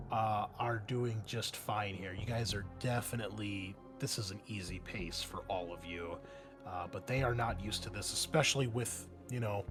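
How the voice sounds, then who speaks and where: A man's voice: medium pitch, a bit bright. Male game master over background music. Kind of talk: improvised tabletop game narration and casual table talk. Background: music under the voice.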